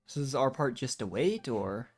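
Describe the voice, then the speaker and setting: A man's voice: mid-range voice, expressive, normal speed. A man giving casual, informal live reactions as a game streamer, muttering along to the story. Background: game music.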